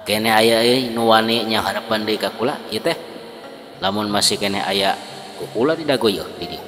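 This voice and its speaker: Man playing cocky, deep-voiced gang challenger. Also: normal speed, fairly bright tone, natural voice. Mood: cocky challenge. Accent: Sundanese. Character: domineering male fighter claiming gang leadership. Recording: long reverb, music behind.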